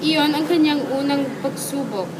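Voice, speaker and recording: A woman's voice: high voice, moderate pace, natural voice. A woman playing the narrator of a student radio drama about a hero's trials, stumbling and repeating phrases as she reads. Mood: storytelling. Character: female storyteller narrating a young hero's trials. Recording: very noisy amateur recording.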